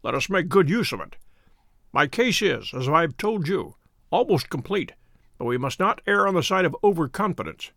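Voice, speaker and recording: A man voicing a cool, brilliant male detective who explains his reasoning crisply, like a professor to a class. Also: fairly high pitch, big pitch swings, normal speed, a bit bright, no room sound, studio quality, heavily acted. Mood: brisk lecturing.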